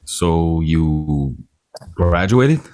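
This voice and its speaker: A man's voice: a bass voice, animated, drawn-out words, a little mellow, full character voice. A man playing a flustered, reluctant male escort in a screenplay table read, speaking hesitantly with stammers. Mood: polite, wary.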